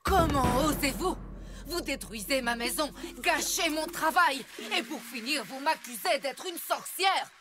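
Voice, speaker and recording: An actress voicing a mysterious, dignified zebra herbalist in a French cartoon dub, speaking formally and theatrically. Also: female voice, sits high, lively intonation. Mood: indignant. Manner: formal, measured, theatrical, cryptic. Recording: music behind the voice.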